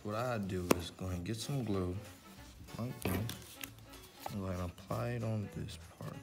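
Low-pitched man hosting a story time. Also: normal speed, gravelly, over loud music. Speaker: library story time host. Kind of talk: read-aloud storytelling and casual craft instruction for children.

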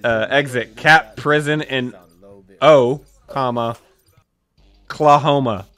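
Young man, streamer, deadpan comedic reading, medium pitch, slight background noise, indoor close mic. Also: slow pace. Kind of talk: casual comedic script read-aloud. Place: small dim room, indoors. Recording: home microphone with background noise, clicks.

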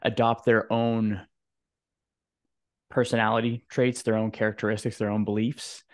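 A man in his thirties hosting a podcast, asking measured questions with some "uh" restarts, into a studio mic in a home room. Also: fairly low pitch, flat delivery. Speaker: podcast host. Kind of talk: interview questions. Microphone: studio mic with headphones.

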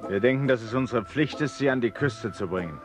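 An actor playing a brisk, dutiful male visitor in a radio play, curt and businesslike. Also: mid-range voice, lively intonation, music in the background, close to an everyday voice. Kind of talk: German radio play acting.

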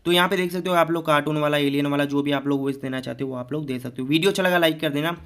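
A man's voice: on the high side, fairly even intonation, racing, a bit bright. A young man giving a casual step-by-step phone tutorial, talking informally to viewers as friends and often repeating little filler phrases. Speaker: tech tutorial YouTuber.

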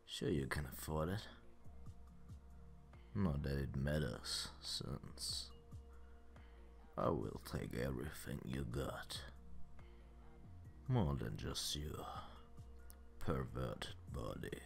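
Casting: male actor as a teasing, commanding demon seducer for ASMR roleplay, extremely low breathy voice, unhurried delivery. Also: lively intonation, faint music behind, exaggerated voice. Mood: sly, teasing. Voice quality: breathy low murmur, often whispered. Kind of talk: ASMR roleplay, intimate whispering.

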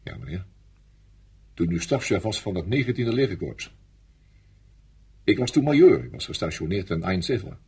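Gruff commander for radio play: low, heavily husky, curt, dry, formally polite to superiors. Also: male voice, very animated, moderate pace, long pauses, a little mellow, exaggerated voice. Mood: formal, restrained. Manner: curt, dry, deferential in form. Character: gruff, blunt senior male army commander. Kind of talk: Dutch radio play acting.

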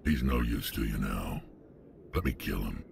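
A man voicing a huge, ruthless alien mercenary in a video game, deep and gravelly, blunt and menacing. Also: a little slow, background noise, heavily acted. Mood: grim, menacing.

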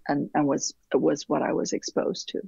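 A middle-aged woman from Taiwan, an architect answering interview questions in a thoughtful way, with many restarts and soft trailing fillers, heard over a video call. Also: sits low, little pitch movement, moderate pace, gravelly, breathy edge, dry recording, muffled recording. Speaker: architect guest. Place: home room with bookshelves, remote call.

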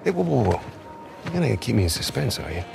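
Talkative American rogue, persuasive, lively intonation, fry in the voice, game cutscene, music behind. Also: male voice, medium pitch, quick pace. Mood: teasing, concerned. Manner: animated, cajoling. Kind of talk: cinematic game acting.

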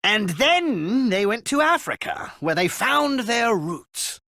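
A man voicing a long-suffering animal adviser who narrates a video game story with dry, theatrical exasperation and a husky voice. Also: high voice, big pitch swings, slow pace, sharp tone, dry recording, full character voice. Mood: storytelling. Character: prim, long-suffering male aye-aye royal adviser narrating.

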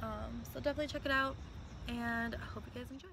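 A woman's voice: on the high side, steady tone, fast, creaky, heavy background noise. Upbeat young woman vlogging on a phone. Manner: chatty, upbeat, addresses viewers directly. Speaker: travel vlogger. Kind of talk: casual vlog talk to camera. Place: outdoors in a city and in a parked car. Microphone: phone held at arm's length.

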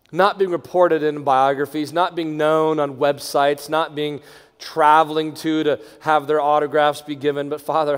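A middle-aged man, a pastor leading a congregation in prayer from a lectern, moving between hushed, near-whispered phrases and strong, raised proclamation. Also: medium pitch. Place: large church sanctuary. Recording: long church reverb.

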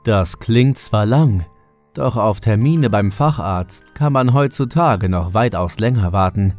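Guy narrating horror audiobook, lowish voice, really slow, music behind. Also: animated, a little mellow. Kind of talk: scripted first-person horror audiobook narration.

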